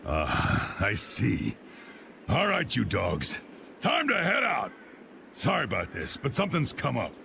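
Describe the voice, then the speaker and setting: An actor playing a blustery, hearty old guild boss in a video game, with an American accent and a gravelly, folksy, commanding delivery. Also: male voice, mid-range voice, wildly swooping pitch, measured pace, pushed and bright, slight background noise, heavily acted.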